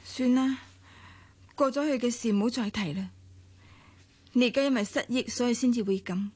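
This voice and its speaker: An actress playing a weary, reserved estranged wife in a Cantonese radio drama, serious and restrained, with a Hong Kong accent. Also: female voice, on the high side, normal speed, darkish tone, slightly rough, dry recording. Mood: wistful, resigned.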